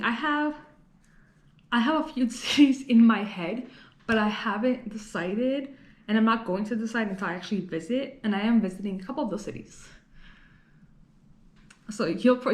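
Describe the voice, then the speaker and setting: Chatty young woman, echoey room. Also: medium pitch. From Los Angeles. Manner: chatty, rambling, frequent "like" fillers and laughs. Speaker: vlogger. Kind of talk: casual talking-to-camera vlog. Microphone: camera mic.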